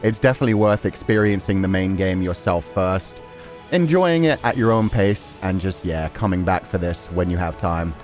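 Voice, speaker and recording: A man's voice: sits low, animated, moderate pace, a little mellow. A man hosting a game livestream, chatting casually and enthusiastically to his viewers in a rambling way. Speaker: game streamer, host. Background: music.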